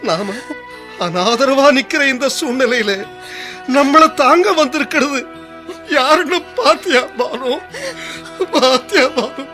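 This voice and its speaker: A man's voice: very high-pitched, expressive, slow pace, long pauses, pushed and bright, faint music behind, full character voice. An actor playing a desperate, humbled husband who has lost his job and money, speaking with earnest emotion in a Tamil radio drama. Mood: emotional, remorseful.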